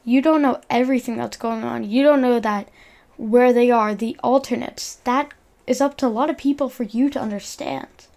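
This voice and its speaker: A woman's voice: on the high side, normal speed, gravelly, a little mellow. A young woman narrating a video essay in an informal, earnest way, reading from a script and stumbling over words now and then.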